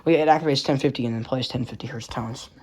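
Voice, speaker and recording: A man's voice: medium pitch, very fast, a little mellow. A man giving a casual, rambling tour of a collection, offhand and mildly profane. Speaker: radio collector showing collection. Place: indoors among working radios. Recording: clear background noise with clicks.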